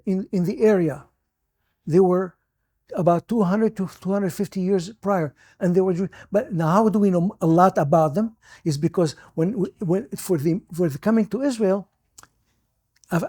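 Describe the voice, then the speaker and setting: An older man born in Iraq, whose first language is Judeo-Arabic, recalling his childhood as an interview guest in halting speech full of restarts. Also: sits high, warm tone. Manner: halting, many restarts and repeated words. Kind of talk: personal recollection.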